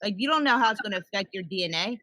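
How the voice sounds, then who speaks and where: A woman's voice: medium pitch, animated, racing, pushed and bright, no echo. A woman speaking emphatically in a casual group chat, giving her opinion as a guest. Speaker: panel guest, doctor's office worker. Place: online group call.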